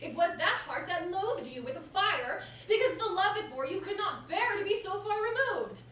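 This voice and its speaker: A woman's voice: extremely high pitch, big pitch swings, normal speed, fairly bright tone, full character voice. A woman playing a witty, proud, passionate common woman in a staged verse comedy, with theatrical delivery. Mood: passionate, indignant. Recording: roomy, distant mic, background noise.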